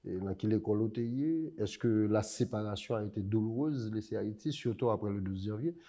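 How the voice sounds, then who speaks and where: A man's voice: fairly low pitch, a little fast, warm tone. A man hosting an interview, asking questions and murmuring agreement as he listens attentively. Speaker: host.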